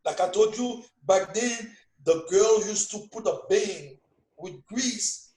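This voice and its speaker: Older Haitian man, strong accent, Creole native, lively anecdotes, roomy echo. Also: high-pitched, slow. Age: 60s. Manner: animated storytelling, broken English phrasing. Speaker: guest, father. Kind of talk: casual family conversation.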